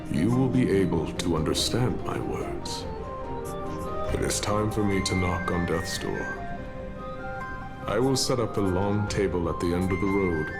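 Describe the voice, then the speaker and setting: An actor playing a weary, philosophical warrior in a video game, speaking in a solemn, measured, grandiloquent way with a gravelly voice. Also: male voice, sits low, heavily acted. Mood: solemn, resolute. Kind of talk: dramatic game voice acting, storytelling monologue.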